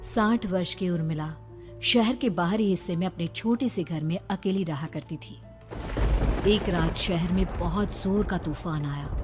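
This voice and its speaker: A woman's voice: fairly low pitch, a little slow, music in the background. A woman giving dramatic scripted narration of a horror story.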